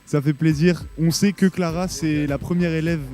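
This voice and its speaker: A man's voice: fairly high pitch, steady tone, normal speed, mellow, faint music behind. A man hosting casual post-game interviews in an encouraging, congratulatory manner. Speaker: interviewer, show host.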